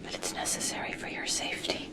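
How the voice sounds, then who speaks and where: Whispering, obsessed woman scientist, acted by a woman. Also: lightly acted. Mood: fascinated, dreamy. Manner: soft, soothing, slow, coaxing. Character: obsessed, gentle female scientist fascinated by merpeople. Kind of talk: whispered ASMR roleplay.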